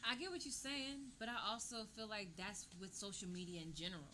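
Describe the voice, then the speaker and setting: Bright-toned woman making her point. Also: mid-range voice, animated, fast talker. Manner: direct, reasoning through her point. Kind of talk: casual podcast discussion.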